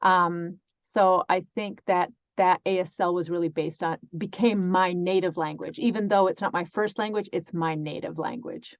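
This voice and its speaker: A woman's voice: on the low side, steady tone, normal speed, hardly any pauses, no room sound, muffled recording. A woman interpreting live for a deaf guest in an interview, speaking in the first person with restarts and fillers. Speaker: sign language interpreter voicing a deaf guest.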